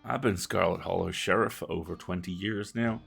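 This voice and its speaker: A man's voice: sits low, moderate pace, gravelly, a bit bright, husky, soft background music, pitched below the performer's own voice, heavily acted. A man voicing a dismissive middle-aged small-town sheriff in a game, folksy, blunt and weary. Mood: blunt, defensive. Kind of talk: reading game dialogue aloud.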